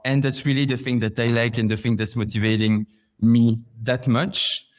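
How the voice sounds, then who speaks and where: A man from Belgium speaks at a medium pitch as a podcast guest. He gives his interview answers in a conversational way. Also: fairly even intonation, normal speed. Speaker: podcast guest, customer success manager.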